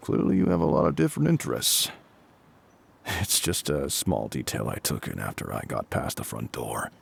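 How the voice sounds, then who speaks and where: A man playing a gruff, kindly middle-aged firefighter captain in an intimate audio roleplay, reassuring and a little awkward, with a gravelly voice. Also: very deep voice, huge pitch swings, exaggerated voice. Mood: awkward, curious. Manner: reassuring, slightly awkward, hesitant pauses.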